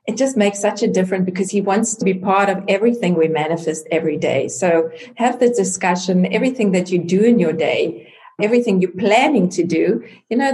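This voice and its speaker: A middle-aged woman from South Africa with Afrikaans as her first language, speaking in a low, mellow voice. She talks earnestly and easily as an interview guest, with a relaxed warmth and ready laughter. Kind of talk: faith interview chat.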